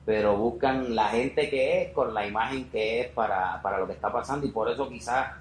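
A man's voice: medium pitch, moderate pace. A man hosting a podcast interview in a casual, rambling way, often repeating phrases for emphasis. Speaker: podcast host. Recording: roomy echo with faint background noise.

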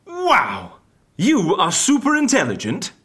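A woman's voice: medium pitch, wildly swooping pitch, slow pace, fairly bright tone, breathy edge, full character voice. A woman playing a sceptical, exasperated agency interviewer in an audio comedy, with a dry, questioning and impatient manner. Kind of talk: scripted audio comedy drama.

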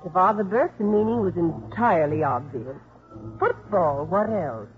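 An actress playing a crisp, formal female court investigator who also narrates, in a 1950s radio drama. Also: fairly low pitch, animated, moderate pace, lightly acted. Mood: composed, storytelling. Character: prim, composed young female court welfare investigator. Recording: muffled 1950s radio recording, music behind.

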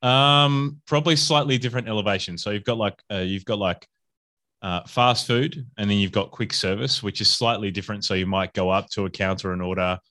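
A man's voice: on the low side, steady tone, a little fast, pushed and bright. A man with an Australian accent, a podcast guest talking casually and upbeat, with lots of fillers and restarts. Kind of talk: casual conversational interview.

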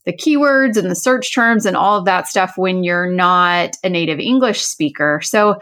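A woman's voice: fairly low pitch, normal speed, fairly bright tone. A woman hosting a podcast, asking a guest questions in a friendly, encouraging way. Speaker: podcast host, interviewer. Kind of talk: casual interview questions.

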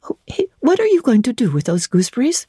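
A woman narrating classic literature in a dramatic, measured and formal storytelling style. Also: fairly high pitch, huge pitch swings, dark tone, husky, no echo, clean studio sound. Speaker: audiobook narrator.

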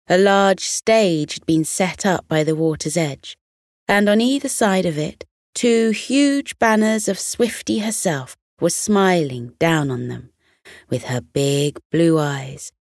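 A woman tells a children's bedtime story at a very slow pace. Her voice sits slightly low, recorded close and dry. The delivery is gentle and expressive. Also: no echo.

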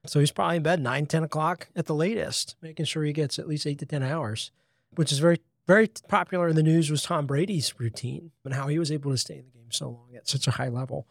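A man's voice: on the high side, fast talker, creaky, no room sound, clean studio sound. A man in his thirties co-hosting a casual fitness podcast, explaining points from his list one by one. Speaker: podcast co-host.

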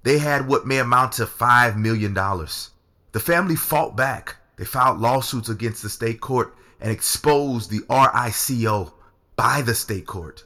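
A man's voice: medium pitch, a little slow, pushed and bright. A man playing an earnest lover who confides dangerous secrets in an audio drama. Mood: urgent, frank. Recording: music under the voice.

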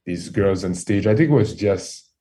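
A man's voice: low voice, normal speed. A man in his thirties with a Haitian accent, French his first language, chatting easily as a guest on a podcast. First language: French and Haitian Creole. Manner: relaxed, easygoing, repeats words as he thinks. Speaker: guest DJ and producer. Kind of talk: casual interview chat.

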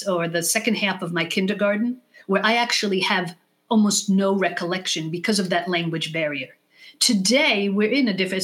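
A woman with a medium-pitched voice speaks as an expert guest in an interview. She lays out advice deliberately, stacking points in lists and stressing key words. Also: fairly bright tone. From born in the United States, raised in Israel from age six. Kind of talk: podcast interview, explanatory advice.

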